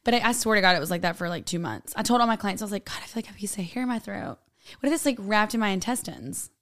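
A woman's voice: medium pitch, very fast, a bit bright. Woman co-host losing her voice. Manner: joking, laughs often. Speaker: podcast co-host. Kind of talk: casual chat.

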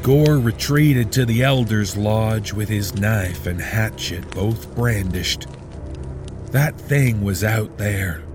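A man with a lowish voice narrates a horror story slowly and gravely, letting each ominous line sink in. Music plays steadily underneath his reading. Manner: measured, grave, ominous delivery. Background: background music.

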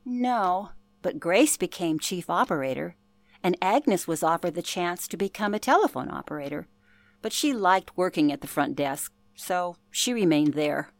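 A woman's voice: lowish voice, lively intonation, moderate pace, no echo. An actress playing an older former telephone operator, telling her past in an earnest, genteel way for a radio play. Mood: matter-of-fact. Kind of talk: radio play, interview-style reminiscence.

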